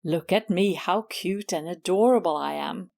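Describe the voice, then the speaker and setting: A woman's voice: on the low side, normal speed, creaky, a little mellow, voice pushed down. A woman playing a smug, vain girl cat. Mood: smug taunting. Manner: teasing, self-satisfied drawl. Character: smug vain female cat, show-off thief.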